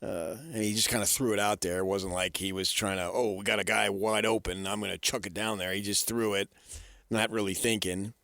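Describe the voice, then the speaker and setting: A middle-aged American man co-hosting sports radio, giving opinions conversationally and haltingly, as if thinking aloud. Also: fairly low pitch, level tone, gravelly, fairly bright tone, no echo, studio quality. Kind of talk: casual sports talk opinion. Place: radio studio. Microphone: close studio microphone.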